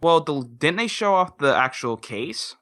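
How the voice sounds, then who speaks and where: A man's voice: on the high side, animated, unhurried, fairly bright tone. A man talking casually as a co-host on a podcast. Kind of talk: casual chat.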